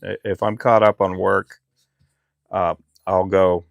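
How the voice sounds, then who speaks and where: Middle-aged man, low and gravelly, easygoing rambler, dry close recording. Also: slow pace, dry recording. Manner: relaxed, rambling, frequent fillers. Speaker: lure maker live streamer. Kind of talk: casual live stream chat.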